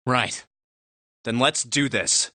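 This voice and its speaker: A man's voice: mid-range voice, lively intonation, slow pace, very noisy. A man voicing an earnest, easily baffled young hero in an anime-style game.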